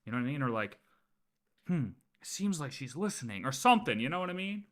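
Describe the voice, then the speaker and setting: A man's voice: fairly high pitch, very animated, fast talker, fairly bright tone. A man giving casual, slangy reaction commentary as a game streamer. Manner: informal, slangy.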